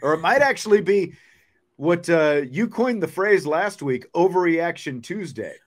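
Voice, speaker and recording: A man's voice: fairly high pitch, animated, measured pace. A man hosting a sports podcast, chatting casually and thinking aloud as he answers viewer comments.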